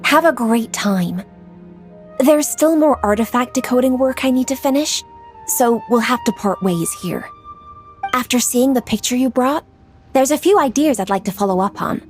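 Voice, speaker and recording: A woman's voice: on the high side, expressive, normal speed, faint music behind, lightly acted. A woman playing a young, diligent female official in a video game, speaking in a composed, polite and formal way.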